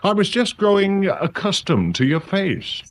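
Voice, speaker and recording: An actor playing a prickly, sardonic local chief inspector in a radio drama, with a halting, sarcastic way of talking. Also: male voice, sits high, very animated. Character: sardonic, troubled local police chief inspector, married man.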